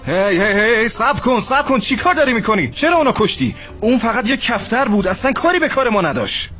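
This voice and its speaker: A man voicing a fretful, easily alarmed male friend who stammers and fusses, in a comedy dub of an animated sketch. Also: very high-pitched, soft background music, exaggerated voice. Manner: fussy, stammering, exasperated.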